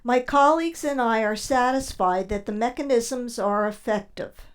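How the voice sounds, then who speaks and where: A woman's voice: on the high side, moderate pace, clean tone, close to an everyday voice. A woman in community radio theater playing a clinical scientist, explaining precisely and formally. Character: clinical female scientist conducting a formal test.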